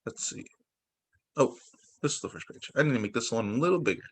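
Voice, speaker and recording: Man reading storybooks with very lively pitch. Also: brisk. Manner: animated, emphatic storytelling for young children. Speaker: teacher reading picture books to students. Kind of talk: expressive children's story read-aloud.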